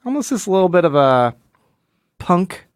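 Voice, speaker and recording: A man with a fairly high, clear voice chats as a podcast co-host. He explains things in a loose, rambling fashion. The sound is close and dry, with no room to it. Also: very animated, moderate pace, no room sound. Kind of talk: casual comic book discussion.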